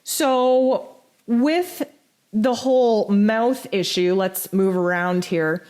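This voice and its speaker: Hoarse lady doing a blunt spiritual forecast, voice scratchy, jumps high when she stresses stuff. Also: drawn-out words. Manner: blunt, animated, pitch jumps high for emphasis. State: hoarse, nearly lost voice. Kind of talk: casual spoken forecast.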